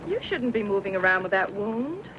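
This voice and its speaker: A woman's voice: medium pitch, sing-song, brisk, background noise, close to an everyday voice. An actress playing a caring, spirited young frontier woman in a radio western, earnest and pleading. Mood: concerned. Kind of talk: old-time radio western acting. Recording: dull lo-fi radio drama, faint music.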